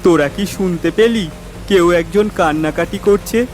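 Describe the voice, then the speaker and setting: A curious, sensible cartoon boy, played by a man, talks in a fairly high, expressive voice. There is clear music behind him. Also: a little slow. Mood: wary, curious. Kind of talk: cartoon voice acting.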